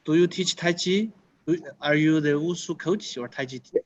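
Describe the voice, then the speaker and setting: A middle-aged man from China, chatting in halting English with his online tai chi class over a video call. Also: on the high side. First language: Chinese. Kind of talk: casual chat with online class. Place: martial arts hall. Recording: slightly dull video call audio.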